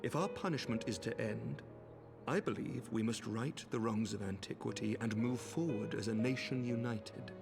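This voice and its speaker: Actor as a noble, measured knight commander. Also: male voice, mid-range voice, vocal fry, natural voice. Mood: grave, resolute. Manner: formal, measured, eloquent. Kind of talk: scripted video game cutscene acting. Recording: music behind the voice.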